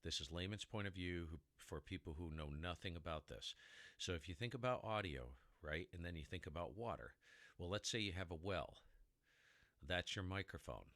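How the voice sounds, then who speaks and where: A man with a very low baritone voice hosting a casual ham radio tutorial, rambling and sidetracking as he explains, recorded in a heavily dampened room. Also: animated, normal speed, a bit bright, dry recording. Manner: rambling, self-interrupting explainer with mic tests. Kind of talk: casual how-to demonstration.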